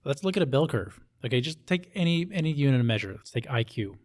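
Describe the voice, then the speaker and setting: A man's voice: medium pitch, animated, quick pace. A man with an American accent chatting casually as an interview guest, in blunt, colloquial language. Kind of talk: casual conversation.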